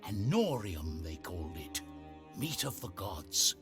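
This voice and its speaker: Epic storyteller: low-pitched, solemn, expressive, prominent musical bed, war-torn fantasy tone. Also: male voice, takes it slowly, exaggerated voice. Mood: solemn, ominous. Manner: solemn, dramatic. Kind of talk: scripted epic narration for a fantasy game. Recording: loud music behind narration.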